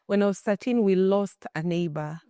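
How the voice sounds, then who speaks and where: A Kenyan woman in her thirties, telling her life story as an interview guest in an earnest, expressive way with many restarts. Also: mid-range voice, normal speed, clean tone, no room sound, studio quality. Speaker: guest, shelter founder.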